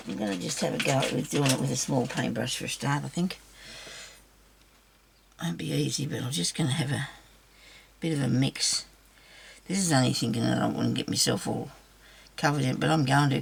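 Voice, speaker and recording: A middle-aged woman with a low raspy voice, chatting and rambling to viewers through a crafting demo. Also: slight background noise. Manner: rambling, self-chuckling, talks to viewers. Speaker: craft vlogger.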